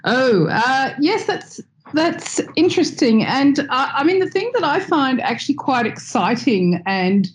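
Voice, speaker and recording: A woman's voice: sits high, sing-song, unhurried, slight background noise, poor audio. Woman, podcast guest, friendly, chatty, lots of fillers. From Australia. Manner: friendly, chatty, many ums and uhs. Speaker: guest expert. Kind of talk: podcast interview answers.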